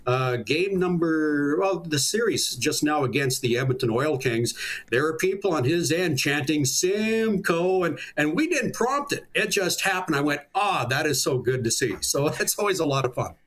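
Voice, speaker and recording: A man's voice: a little high, lively intonation, moderate pace, a bit bright. A middle-aged Canadian man from small-town Saskatchewan, a public address announcer, chatting casually and reminiscing in an interview in a folksy way.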